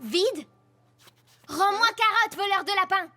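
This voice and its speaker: A woman's voice: very high voice, measured pace, sharp tone, soft background music, exaggerated voice. A woman voicing a bossy but caring, organised young schoolgirl in a French cartoon, firm and childlike. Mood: indignant.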